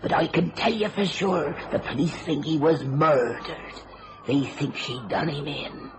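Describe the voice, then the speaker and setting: A woman's voice: low-pitched, expressive, a little slow, noisy background. A woman playing a chatty, superstitious, kindly English charwoman in a radio thriller, folksy and ungrammatical, with colourful turns of phrase. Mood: confiding.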